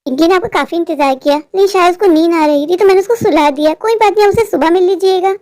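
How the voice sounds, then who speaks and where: A high-pitched, scheming stepmother, acted by a woman in a cartoon moral story. She is cruel, smug and dismissive, recorded very dry. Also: fast, darkish tone, no echo. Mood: evasive, placating. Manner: sarcastic, self-satisfied, dismissive.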